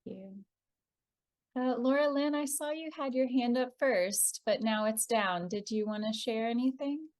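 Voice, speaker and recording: Woman, slightly high pitch, gentle and welcoming facilitator of a group call. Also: unhurried.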